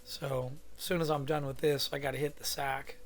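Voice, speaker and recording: A man from California with a hoarse voice from a cold, thinking aloud in a rambling, casual way as he teaches a drawing demo in his home studio. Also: mid-range voice, flat delivery, normal speed, soft background music. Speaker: art instructor.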